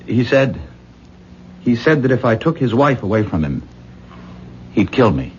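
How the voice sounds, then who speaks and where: A man's voice: medium pitch, very animated, unhurried. An actor playing a shaken, lovestruck man in a 1970s radio drama, American accent, hesitant and insistently repeating himself. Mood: agitated, insistent. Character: shaken, earnest, lovestruck man pleading with his lover. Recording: muffled, noisy 1970s radio recording.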